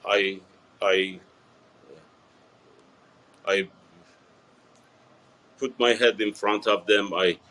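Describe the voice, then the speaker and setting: A man, answering a TV reporter's questions in a halting, earnest way with many fillers and restarts. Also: on the low side, fairly even intonation, pushed and bright. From Iran. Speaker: interviewee. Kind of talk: TV news interview.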